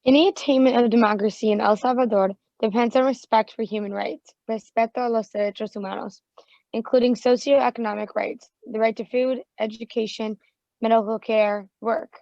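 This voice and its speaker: Gravelly woman reading a testimony. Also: a little high, steady tone, a little fast, mellow, no room sound, highs cut off. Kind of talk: reading a martyr's testimony aloud.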